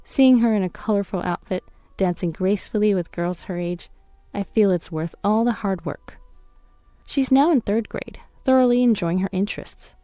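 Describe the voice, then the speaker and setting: A woman's voice: mid-range voice, normal speed, warm tone, no echo. A woman reading a scripted first-person family drama as narrator, recorded close as a voice-over. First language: English. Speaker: story narrator.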